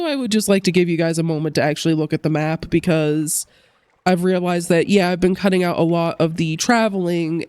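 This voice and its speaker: A woman's voice: fairly low pitch, a little mellow, no room sound. Congested woman chatting over gameplay. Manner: chatty, self-correcting, thinking aloud. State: congested from a cold. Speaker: let's play host. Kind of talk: casual gameplay commentary.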